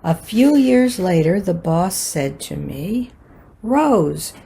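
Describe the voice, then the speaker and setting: An older American woman reading a prepared personal reflection for a worship service in a measured, earnest manner. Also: mid-range voice, creaky, mellow, husky. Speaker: worship associate.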